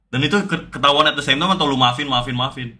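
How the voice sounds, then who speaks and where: A young man hosts a stream with a very bright, cutting tone at a middle pitch. He grew up in New York and teases his guest in quick banter, turning now and then to speak to the chat. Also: steady tone. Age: young adult. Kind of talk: casual stream chat, mixing Indonesian and English slang.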